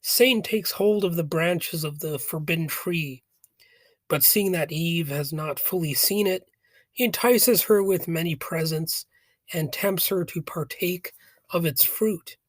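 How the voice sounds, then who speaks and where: A man hosting a podcast with a raspy voice from a cold, commenting casually and rambling with hesitant, jokey asides. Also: on the high side, measured pace, no room sound. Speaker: podcast host. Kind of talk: casual commentary on a philosophy text.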